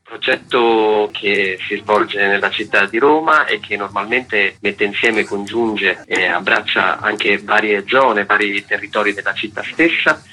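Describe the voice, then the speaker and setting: A man's voice: fairly low pitch, unhurried, few pauses, sharp tone, low-quality recording. A man giving an explanatory radio interview as a festival's artistic director, articulate and wordy with formal, intellectual phrasing.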